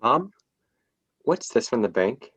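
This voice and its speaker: A man's voice: mid-range voice, very animated, slow pace, a bit bright, husky, no echo, heavily acted. A man in a screenplay table read, playing a concerned son questioning his mother. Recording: muffled lo-fi mic, faint noise.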